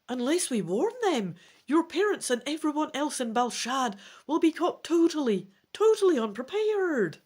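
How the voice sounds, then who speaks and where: A woman's voice: sits high, big pitch swings, moderate pace, hardly any pauses, a little mellow, voice pushed up. A woman voicing an urgent, insistent elf companion in a fantasy gamebook. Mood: urgent, alarmed.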